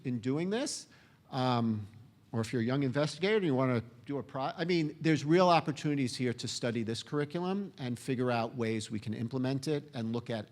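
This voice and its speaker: A man giving a conference talk in a relaxed, conversational way, with frequent fillers. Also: mid-range voice. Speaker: conference speaker.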